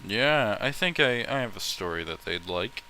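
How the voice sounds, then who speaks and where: A man's voice: fairly low pitch, animated, moderate pace, sharp tone, slight background noise. A man chatting casually on a livestream, ranting bluntly and swearing freely as an opinionated host. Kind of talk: casual rambling livestream chat with friends.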